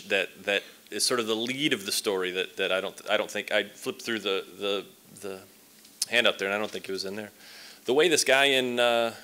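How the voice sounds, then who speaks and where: Deep-voiced man giving a conference talk. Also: sharp tone. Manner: conversational, many fillers and restarts. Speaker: speaker at a symposium. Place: large lecture hall, indoors. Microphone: stand mic set at a distance.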